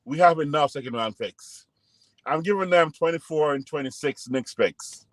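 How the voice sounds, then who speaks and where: A man with a fairly high voice argues his side in casual sports talk, rambling and joking, often cutting in on the other speaker. He is heard on a call mic in a dry, close sound with no room around him. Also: moderate pace, no room sound. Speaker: podcast co-host.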